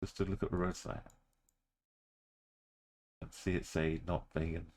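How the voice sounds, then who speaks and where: A deep-voiced man talks slowly through his game. He keeps to short, clipped phrases, mostly talking to himself. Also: level tone, a little mellow. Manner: muttering, terse thinking aloud. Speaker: streamer. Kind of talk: commentary while playing a game.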